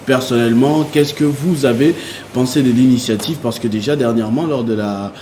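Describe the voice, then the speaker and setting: A man with a Congolese accent moderating a political panel as host, often pausing mid-sentence with hesitations. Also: mid-range voice, a little mellow. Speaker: talk show host. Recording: faint noise on studio recording.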